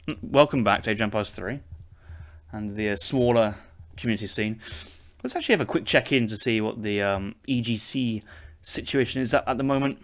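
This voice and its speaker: A man's voice: mid-range voice, a bit bright. A young man casting a strategy game tournament, breaking down units and matchups as he thinks aloud. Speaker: esports caster.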